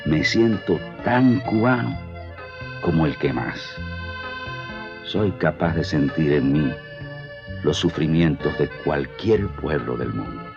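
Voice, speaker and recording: A man's voice: extremely low pitch, lively intonation, slow pace, creaky, pauses often. A man giving an earnest, oratorical speech in archival audio. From Argentina. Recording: dull archival audio with guitar music.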